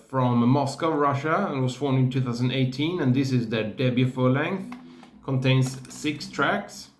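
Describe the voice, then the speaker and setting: A man reviewing music releases in a casual, hesitant way, pausing often with fillers. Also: mid-range voice, echoey room. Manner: slow, hesitant, many fillers.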